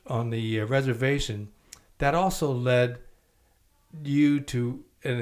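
Brief: older male host, steady affirming interviewer, studio mic. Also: medium pitch. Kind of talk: interview questions. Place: small podcast studio. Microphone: studio mic on stand, headphones.

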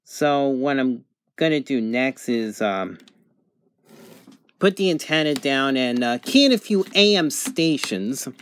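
A man's voice: mid-range voice, lively intonation, slow pace, clear, resonant tone, no room sound. A man giving a casual, methodical, matter-of-fact hands-on demonstration commentary indoors as a reviewer. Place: indoors at a table. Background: sound from a radio.

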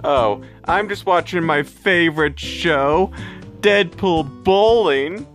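Excitable cartoon fan, performer putting on a voice: lively intonation, unhurried, savoring words with childlike glee. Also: mid-range voice, faint music behind. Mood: gleeful. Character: excitable, childlike cartoon superfan of a silly show.

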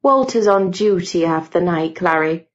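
A woman's voice: fairly low pitch, measured pace, pitched below the performer's own voice. A woman doing a patient, sensible housemaid. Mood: patient firm. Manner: calm, gently scolding. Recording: dull lo-fi audiobook recording.

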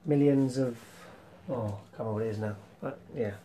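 A young man hosting a casual retro collection vlog, rambling with ums and clearing his throat, his voice slightly worn from losing it. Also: mid-range voice, brisk, mellow, a little hiss or hum. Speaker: retro game collector vlogger. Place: small room full of toy shelves, indoors.

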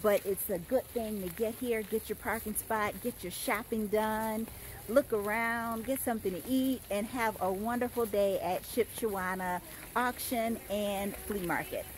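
A woman's voice: medium pitch, measured pace, slight background noise. A woman chatting enthusiastically as she vlogs a walk through an outdoor flea market, talking into a phone held at arm's length. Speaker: travel vlogger. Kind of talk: casual vlog walk-through. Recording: outdoor phone audio with crowd ambience.

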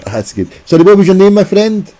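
A man's voice: high voice, big pitch swings, a little fast, background noise. A man with a Scottish accent making an insistent complaint as a caller on the phone. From Scotland.

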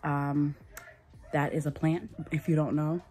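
A woman in her thirties chatting casually to her phone camera at home as a mom vlogger, relaxed and full of ums. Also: sits low, measured pace. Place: small home room indoors. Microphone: phone held at arm's length.

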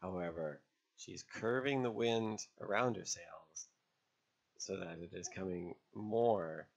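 A man narrating an instructional lesson as an instructor, explaining step by step in a measured way with pauses. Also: sits low, gravelly, husky. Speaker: sailing instructor. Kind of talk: instructional narration over drawn diagrams.

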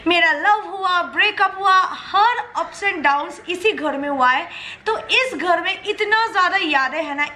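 A young woman with a high voice talks chattily into a close handheld camera, getting emotional as she shows her family's old flat. Her vlog sounds casual and personal, with a faint hum of the room behind her. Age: young adult. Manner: chatty, emotional, mixes in English phrases. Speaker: YouTube vlogger. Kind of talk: casual home tour vlog. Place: inside an old flat, small bare rooms.

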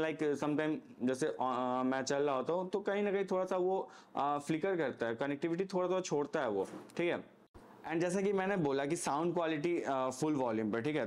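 Casting: Indian-accented man, slightly high voice, casual gear reviewer, explanatory and unscripted. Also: darkish tone. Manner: explanatory, frequently checks in with "okay".

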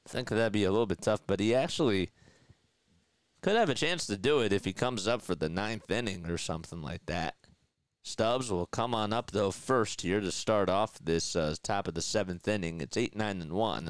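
A man's voice: lowish voice, animated, normal speed, smooth, no echo. A man in his thirties with a Philadelphia accent, calling a baseball game live on a headset mic and chatting with viewers. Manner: chatty, laughs often, reads viewer comments aloud. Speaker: play-by-play livestream host. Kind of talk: live baseball commentary with chat reading. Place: small indoor room in front of a desk setup. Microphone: headset with boom mic.

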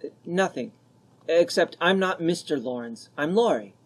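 A man's voice: a little high, expressive, normal speed. A young man playing a polite, shy grandson in a radio drama.

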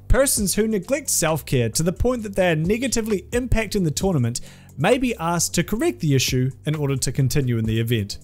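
Animated sarcastic young man. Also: fairly high pitch, fairly quick. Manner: sarcastic, crude jokes. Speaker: video essay host. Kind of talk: scripted comedic commentary. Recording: faint background music.

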